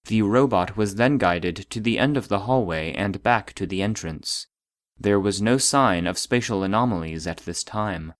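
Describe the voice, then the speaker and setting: An actor playing a formal database voice, reading clinical case logs in a detached, precise manner. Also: male voice, lowish voice, normal speed, no echo, studio quality, close to an everyday voice. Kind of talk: scripted narration of clinical reports.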